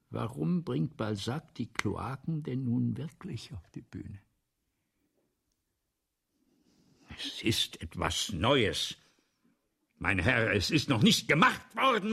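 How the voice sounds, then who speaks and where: An actor playing an excitable, domineering, grandiose playwright in a German radio play, breathy and theatrical, often lapsing into whispers. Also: male voice, fairly high pitch, exaggerated voice. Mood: probing, insistent, then agitated. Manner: theatrical, pompous, insistent.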